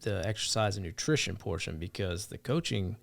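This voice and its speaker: A man in his thirties guesting on a podcast, blunt and profane, preaching about accountability into a studio boom mic. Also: lively intonation, a little fast, vocal fry. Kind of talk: casual podcast conversation. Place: podcast studio, grey wall. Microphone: studio mic on boom arm with headphones.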